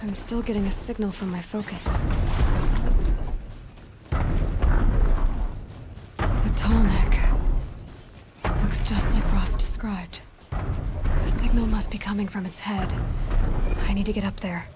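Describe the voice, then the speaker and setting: A resolute young huntress voiced by a woman for an adventure game. She sounds earnest and self-possessed, at a medium pitch. Clear music plays behind her. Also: fairly even intonation, slow pace, long pauses, lightly acted. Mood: focused, determined. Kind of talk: video game voice acting.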